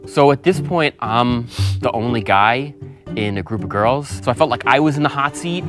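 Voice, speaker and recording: A young man who is a contestant on a game show, talking in a quick, chatty and direct way during a group discussion. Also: medium pitch, lively intonation, music in the background. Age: young adult.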